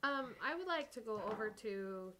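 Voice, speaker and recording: A woman's voice: a little high, lively intonation, moderate pace, a bit bright, a little hiss or hum, low-quality recording. A woman playing a tabletop game, chatting casually about her character's actions.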